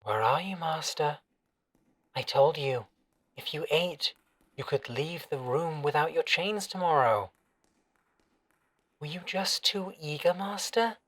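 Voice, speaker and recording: A man's voice: a little high, a bit bright. A man playing an obsessive, possessive servant in a scripted roleplay audio drama, coaxing and deferential yet controlling.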